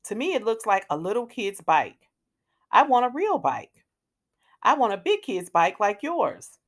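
An earnest, worried little storybook boy, voiced by a woman reader, speaks plaintively. The pitch is lively and the pace unhurried. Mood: glum. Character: young boy, worried and attached to his old bike.

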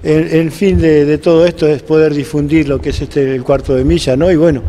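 High-voiced, mellow older man. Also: fairly even intonation, a little fast. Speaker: interviewer, programme host. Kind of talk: casual on-location interview. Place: indoor block-walled room at a racetrack. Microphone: handheld microphone.

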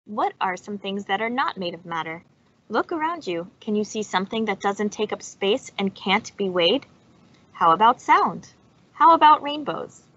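A woman's voice: mid-range voice, big pitch swings, moderate pace, bright, forceful tone. A woman reading a children's science book aloud over a video call. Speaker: storytime reader. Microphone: video call microphone. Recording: dull, lo-fi video call audio.

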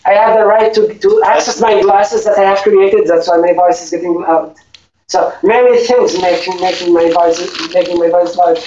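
A man's voice: high-pitched, normal speed, a little mellow. Man, insistent and repetitive, heard from far off in an echoey room, dull faint-hiss recording. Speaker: student in a meeting. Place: meeting room.

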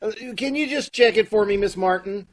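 An actor playing a fussy, flustered loan officer in an old-time radio comedy, with hesitant, businesslike patter over a video call. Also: male voice, high voice, a little fast. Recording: live video-call recording.

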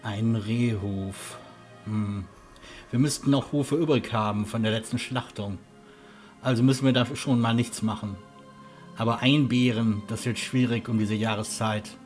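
A man's voice: mid-range voice, steady tone, unhurried, darkish tone, faint music behind, natural voice. A man playing a friendly, helpful and somewhat hesitant castle huntsman in a fantasy audio drama.

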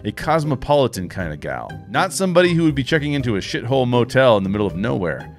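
A man in his forties reading screenplay action lines in a measured, wry way into a studio microphone in a home podcast studio. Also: mid-range voice, big pitch swings, faint music behind. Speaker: host and narrator. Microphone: studio microphone with headphones.

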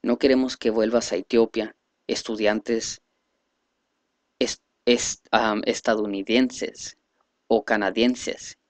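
A man reading a speech aloud in a halting, careful way, stumbling over words now and then. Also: lowish voice, flat delivery, no room sound. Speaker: reader presenting a selected speech.

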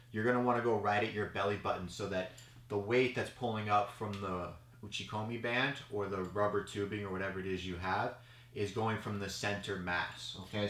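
A man's voice: fairly low pitch, fairly bright tone. A man in his thirties, a judo coach giving a casual step-by-step how-to demonstration, checking in with "okay?" as he goes. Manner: casual, explanatory, frequent "okay?" check-ins. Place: small storage room with mats, indoors. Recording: echoey small room, faint noise.

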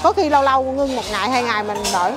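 Middle-aged woman, Southern Vietnamese accent, high bright voice, chatty stall owner reeling off dishes, music under the talk. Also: rapid-fire. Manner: chatty, lists dishes one after another. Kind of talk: casual interview chat. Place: busy indoor market food stall.

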